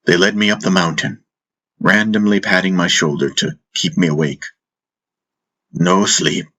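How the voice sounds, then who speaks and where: A man reading a first-person fantasy audiobook as narrator, in a solemn, deliberate, dramatic style. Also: sits low, sharp tone. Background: faint music.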